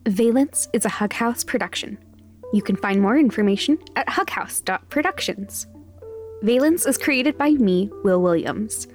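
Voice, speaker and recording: A woman's voice: mid-range voice, expressive, moderate pace, soft background music. A woman podcast creator reading scripted credits.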